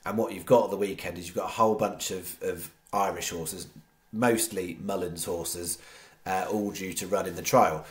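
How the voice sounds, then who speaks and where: A man with a head cold hosting a horse racing tips show, talking casually and rambling with many restarts. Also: sits low. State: congested with a cold. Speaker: horse racing tipster host. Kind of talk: casual chat to camera. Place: home studio.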